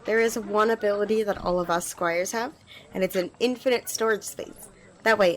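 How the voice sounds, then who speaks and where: A woman's voice: mid-range voice, slight background noise, close to an everyday voice. A woman playing an eager, apologetic young squire in an ASMR fantasy roleplay, rambling and stammering as she speaks to the listener. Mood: proud, explaining. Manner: rambling, hesitant, stammering, giggly. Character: eager, flustered young female magic-wielding squire, apologetic.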